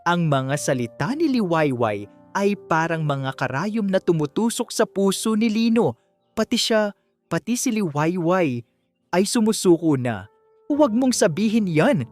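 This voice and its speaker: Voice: mid-range voice, animated, a little slow, darkish tone. Dramatic audiobook narrator over faint music. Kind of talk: dramatic scripted story narration.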